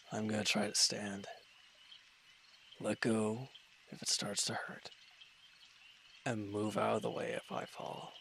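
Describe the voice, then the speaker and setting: A man playing a wounded, irritable villain in an audio roleplay, gruff and curt but grudgingly caring, with an exasperated, grumbling delivery. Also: lowish voice, bright, forceful tone, a little hiss or hum, full character voice. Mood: grudging concern. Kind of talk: scripted audio roleplay acting.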